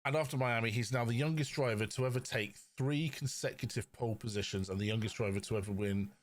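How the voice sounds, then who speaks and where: A man with a mid-pitched voice co-hosts a casual motorsport podcast. He explains things and gives his opinions in a conversational style. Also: fast, dry recording. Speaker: podcast co-host.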